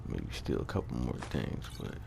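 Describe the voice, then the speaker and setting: A man's voice: normal speed, background noise. A man giving casual, laid-back, slangy commentary over his own gameplay. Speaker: gaming host.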